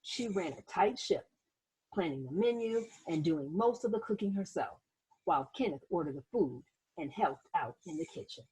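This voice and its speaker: A woman reading a children's picture book aloud as host, in an expressive storytelling manner with little asides to young listeners. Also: lowish voice, moderate pace, breathy edge, low-quality recording.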